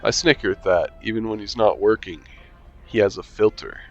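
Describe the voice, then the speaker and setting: A man's voice: fairly low pitch, moderate pace, darkish tone, lo-fi sound, soft background music. A man reading a visual novel aloud with commentary, making dry, sarcastic asides and swearing casually. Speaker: game streamer.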